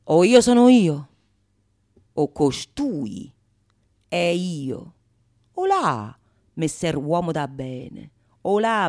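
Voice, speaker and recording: A woman's voice: darkish tone, exaggerated voice. A woman playing a sly, bold male painter in a theatrical Renaissance comedy, declaiming righteous accusations. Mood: challenging. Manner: low, menacing, declamatory.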